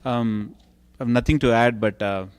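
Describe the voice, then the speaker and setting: A man's voice: medium pitch, fairly even intonation, moderate pace, noisy background. A man moderating a church question session, with an ordinary mid-range voice. He talks hesitantly, filling gaps with ums and uhs while he reads the questions aloud and works through them. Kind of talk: reading out audience questions. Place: church hall.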